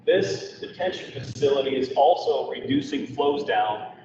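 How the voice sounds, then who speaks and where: A man's voice: mid-range voice, lively intonation, normal speed. Man, methodical technical explainer, far from the mic, long hall reverb. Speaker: engineer presenter. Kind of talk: technical explanation at a public meeting. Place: large meeting room. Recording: echoey hall, far from mic, dull, background noise.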